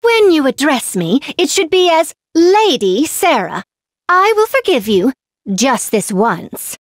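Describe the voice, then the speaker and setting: Game role: vain, petulant young lady of rank. High, unhurried, theatrically pompous. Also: big pitch swings, dry recording, clean studio sound, exaggerated voice. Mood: haughty, smug. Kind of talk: video game character voice lines.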